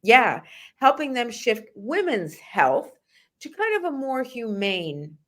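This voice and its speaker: A woman's voice: on the high side, very animated, takes it slowly, fairly bright tone. A middle-aged woman from Russia hosting a conversational talk show, speaking earnestly and emphatically, straight to her listeners. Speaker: host of a nursing and wellness talk show. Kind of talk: conversational interview, personal anecdotes.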